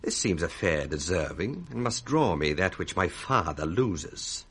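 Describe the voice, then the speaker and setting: A scheming young British villain in a Shakespearean radio play. His deep, husky voice is slow and deliberate, swooping with sly ambition. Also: very animated, fairly bright tone, full character voice. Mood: sly, gloating.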